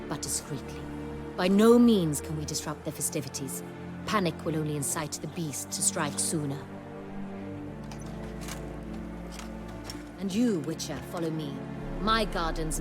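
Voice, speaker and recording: A low-voiced, imperious young duchess. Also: female voice. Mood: firm, commanding. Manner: formal, crisp, authoritative. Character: commanding young-adult duchess, regal, poised, imperious. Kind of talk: video game cutscene acting. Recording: music behind the voice.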